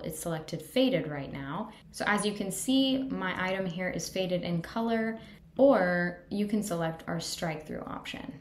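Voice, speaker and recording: A woman giving an upbeat, friendly voice-over walkthrough of software settings. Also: fairly low pitch, reverberant. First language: English. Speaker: product tutorial presenter. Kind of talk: screen-recorded software walkthrough.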